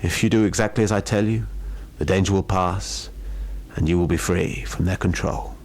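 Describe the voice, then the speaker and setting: Man as a grave, low-voiced temple priest. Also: fairly even intonation, moderate pace, darkish tone. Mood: stern instruction. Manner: solemn, warning, instructive.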